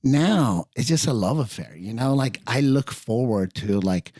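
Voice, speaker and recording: A man's voice: mid-range voice, expressive, unhurried, a little mellow, no echo, clean studio sound. A middle-aged man from Iran, partly raised in California, talking earnestly and casually as a chef guest on a podcast. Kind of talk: casual podcast interview, personal stories.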